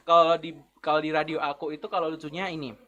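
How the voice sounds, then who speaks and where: A man's voice: on the high side, brisk, a bit bright. A young man, a campus radio announcer chatting playfully as a guest. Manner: chatty, playful, acts out listener quotes. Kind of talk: casual chat.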